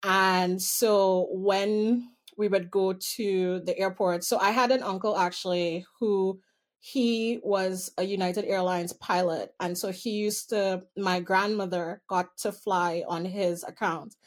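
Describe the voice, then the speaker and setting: A woman from Trinidad speaks with a Trinidadian accent, recounting her own experiences as an interview guest. She is candid and amused and slows down to stretch the words she wants to stress. Her voice sits in an ordinary middle pitch. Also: fairly even intonation. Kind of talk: personal storytelling in an interview.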